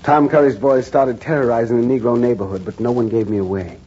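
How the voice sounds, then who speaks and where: An actor playing a reporter who narrates his own ordeal in measured, grave first person, in a 1940s radio drama. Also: medium pitch, steady tone, dark tone, husky, natural voice. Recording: dull 1940s radio broadcast recording with music.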